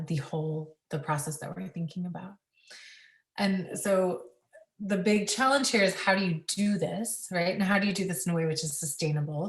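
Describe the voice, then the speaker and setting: A woman speaking on a panel about food systems, thoughtful and full of pauses and ums. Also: sits low, roomy sound, far from the mic. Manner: thoughtful, many ums and restarts. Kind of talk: webinar panel talk.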